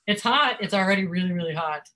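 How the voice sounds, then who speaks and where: Giggly, animated woman, lower voice. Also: moderate pace, crowd noise. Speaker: author and publisher, guest. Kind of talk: casual chat. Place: Florida home.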